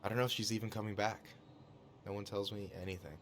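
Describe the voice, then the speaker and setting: A man's voice: lowish voice, steady tone, fast, slight background noise, close to an everyday voice. An actor playing a friendly, easygoing young male store clerk, talking casually and wryly in game dialogue. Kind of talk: naturalistic video game dialogue.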